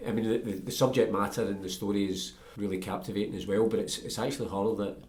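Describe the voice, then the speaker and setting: A man's voice: on the low side, fairly even intonation, quick pace, crowd noise. A man hosting a casual conversational interview, thoughtfully circling his way toward each point. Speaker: interviewer, podcast host.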